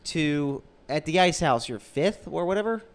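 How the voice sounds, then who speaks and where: A man's voice: a little high, expressive, normal speed. A man in his late twenties co-hosting a podcast, chatting in a rambling, laid-back way. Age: 20s. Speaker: podcast co-host. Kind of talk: casual podcast chat.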